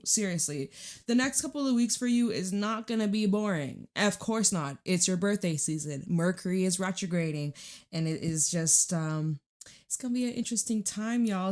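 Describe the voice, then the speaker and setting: A woman hosts a casual tarot reading in a somewhat low register. Her voice is raspy and hoarse, as if recovering from being lost. She talks informally and emphatically, circling back to repeat phrases. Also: darkish tone. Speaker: tarot reader and channel host.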